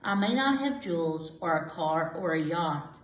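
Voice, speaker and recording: A woman voicing a kindly, content elderly woman who gently reminisces and comforts in a rhyming picture book. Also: fairly low pitch, animated, normal speed, pitched below the performer's own voice, close to an everyday voice. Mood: gentle, content. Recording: roomy, echoey indoor sound.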